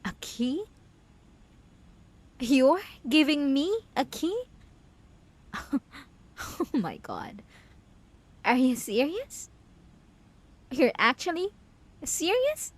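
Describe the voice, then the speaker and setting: A woman's voice: high voice, big pitch swings, slow, long pauses, exaggerated voice. A woman playing an obsessive caged killer. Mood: taunting. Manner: taunting, slipping from soft whispers to sudden outbursts. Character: obsessive, possessive young woman killer in a cell. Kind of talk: roleplay audio drama to the listener.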